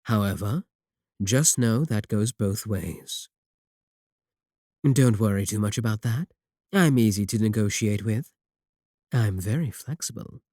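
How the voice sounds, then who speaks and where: Man playing a slow, deep, velvety-dark manipulative warlock. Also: dry recording, clean studio sound. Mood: smooth, persuasive. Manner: unhurried, polite, coaxing, flattering. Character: smooth, courteous, manipulative male warlock shopkeeper, flattering and flirtatious. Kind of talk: second-person roleplay audio acting.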